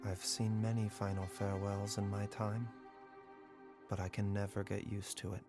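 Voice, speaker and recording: A man playing a gentle, composed physician in a video game, speaking in a measured, formal and compassionate way. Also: lowish voice, flat delivery, lightly acted. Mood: solemn. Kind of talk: dramatic video game voice acting. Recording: music playing behind the voice.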